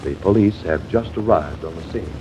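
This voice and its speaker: An actor playing the narrator of a vintage radio crime drama, reading scripted scene-setting lines. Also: male voice, low-pitched, normal speed, close to an everyday voice. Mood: grave. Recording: muffled, noisy old radio recording.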